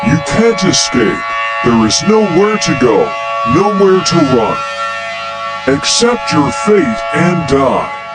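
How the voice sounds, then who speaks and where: A man's voice: on the high side, sing-song, loud music behind the voice. A man voicing an ominous, villainous entity of doom in an amateur horror broadcast, speaking threateningly. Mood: sinister threat. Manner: slow, threatening. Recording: very loud hum and noise.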